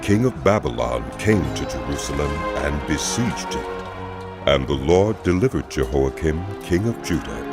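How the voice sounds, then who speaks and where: Very deep solemn male Bible narrator. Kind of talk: scripted dramatic Bible narration.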